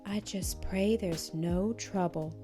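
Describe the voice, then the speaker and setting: Woman playing a fretful mother, soft-spoken, resigned, storybook character, unhurried. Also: fairly low pitch, over music.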